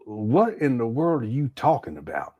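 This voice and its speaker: A man's voice: medium pitch, sing-song, moderate pace, dark tone, breathy edge, muffled recording. A man giving casual reaction commentary on a clip, exasperated and laughing, with slangy asides.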